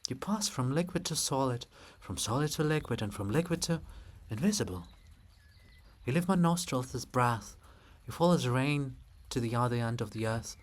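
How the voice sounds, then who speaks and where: A man's voice: medium pitch, lightly acted. A man voicing a proud, brooding ancient princess statue in a dramatic play, declaiming in a lyrical, weighty way. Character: Princess Olha monument, ancient woman, solemn, brooding, proud.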